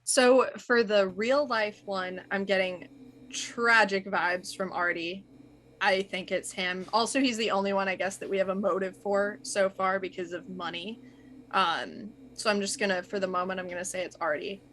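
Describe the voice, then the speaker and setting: A woman actor in a casual chat, weighing her guesses slowly and dropping wry quips. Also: medium pitch, steady tone, a bit bright, a little hiss or hum. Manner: measured, thinks aloud, quick wry quips. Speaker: actor and cast member.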